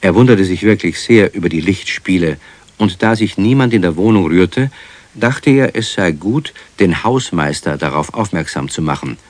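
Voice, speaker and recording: Children's radio narrator: low, animated pitch, measured storytelling, dry studio sound. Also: male voice, no echo. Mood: narrating.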